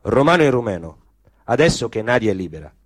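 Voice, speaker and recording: A man narrating literary prose as a stage actor, with dramatic, deliberate phrasing. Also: fairly low pitch, sing-song, no room sound, studio quality.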